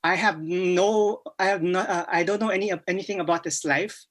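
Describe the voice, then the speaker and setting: A man from the Philippines with a Filipino accent, giving a timed practice answer for a speaking exam, with frequent pauses and restarts. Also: high-pitched, steady tone, a bit bright. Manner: hesitant, many fillers and restarts. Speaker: IELTS student.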